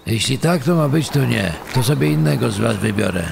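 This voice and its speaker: Man acting a gravelly, cold killer. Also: mid-range voice, lively intonation, a little fast, music in the background. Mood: cold, dismissive. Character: cold male child murderer, 1930s Polish tinsmith. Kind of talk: dramatised testimony in true-crime podcast.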